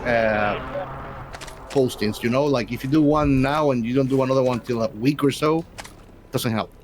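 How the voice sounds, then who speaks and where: A man's voice: medium pitch, moderate pace, a little hiss or hum. A man being interviewed as a guest, explaining things in an informal, casual conversational way.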